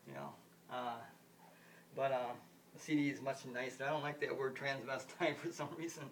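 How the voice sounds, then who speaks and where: A man's voice: mid-range voice, fairly bright tone. Rambling man in his fifties, distant mic. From United States. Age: 50s. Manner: repetitive, restarts and circles back on points. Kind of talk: rambling solo monologue. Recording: distant mic with faint background noise.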